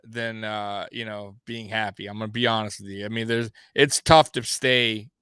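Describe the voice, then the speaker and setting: A man's voice: fairly low pitch, steady tone, moderate pace, sharp tone, smooth, no room sound, clean studio sound. A man in his forties from Miami hosting a casual sports talk show, rambling with many restarts. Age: 40s. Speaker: podcast host.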